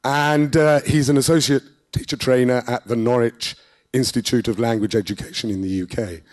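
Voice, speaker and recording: Hoarse man introducing a speaker. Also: medium pitch, steady tone. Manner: halting, with fillers. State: hoarse, losing his voice. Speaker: event host introducing a speaker. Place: conference hall.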